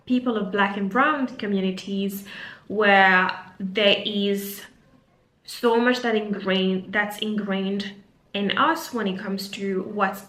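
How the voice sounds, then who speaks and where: A woman's voice: medium pitch, fairly bright tone, reverberant. A woman from Mauritius with a Mauritian accent, a career coach talking unscripted to her camera in an earnest, reflective way, with frequent fillers. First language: Mauritian Creole. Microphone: camera mic.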